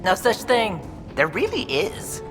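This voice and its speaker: A man's voice: sits high, sing-song, measured pace. A man playing a fussy, playful alien crewmate in a video game, theatrical and pedantic. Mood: dismissive. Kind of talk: video game character acting. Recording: reverberant, music underneath.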